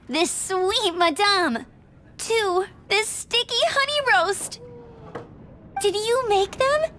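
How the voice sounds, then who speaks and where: A woman voicing a giddy, high-spirited young character in a video game dub, gushing and theatrical. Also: a bit bright, full character voice. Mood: gushing delight. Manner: gushing, dramatic.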